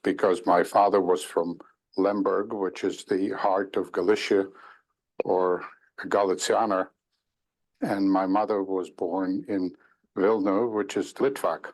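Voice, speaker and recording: An older man giving a slow, measured oral history testimony in an archival recording played back in a room. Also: low-pitched, a little mellow, rough recording. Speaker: Holocaust survivor giving testimony.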